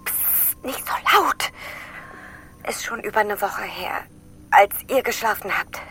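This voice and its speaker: An actress playing a young female astronaut who confides in a whisper, halting and sheepish. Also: mid-range voice, pushed and bright, slight background noise. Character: anxious, guilt-ridden young female astronaut. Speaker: astronaut crew member in an audio drama. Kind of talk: audio drama acting.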